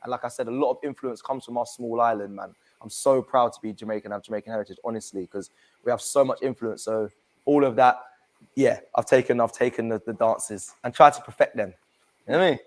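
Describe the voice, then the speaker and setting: A man's voice: mid-range voice, quick pace, rough recording. A young man, chatting casually and laughing easily as a regular guest of a football fan channel. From England. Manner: lively, slangy, laughs easily. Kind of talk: casual chat.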